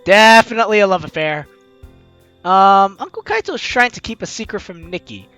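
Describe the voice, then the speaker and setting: Animated, bright-voiced game video host. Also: medium pitch, normal speed, clear, resonant tone, soft background music. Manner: animated, teasing, exclamatory. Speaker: let's-play video host. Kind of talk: playful game commentary and reading aloud.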